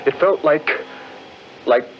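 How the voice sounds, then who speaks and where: Highish-voiced, excitable gentleman. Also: slow. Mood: shaken. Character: excitable gentleman, Crane, quick to panic. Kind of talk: 1940s radio horror acting. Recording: muffled, hissy 1940s radio recording.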